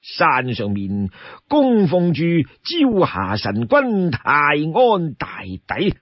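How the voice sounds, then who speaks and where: A man voicing an easygoing, money-minded old townsman in a Cantonese story, chatty and full of drawn-out interjections. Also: fairly high pitch, huge pitch swings, no echo, lo-fi sound, exaggerated voice. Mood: proud, chatty.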